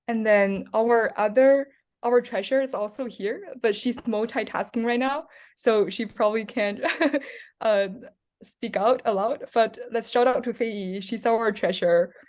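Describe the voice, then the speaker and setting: A woman's voice: a little high, fairly even intonation, measured pace, darkish tone. A young woman from China hosting a student Q&A on a video call, hesitant with many fillers. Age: young adult. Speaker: host, student club co-president. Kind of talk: casual moderating on a video call.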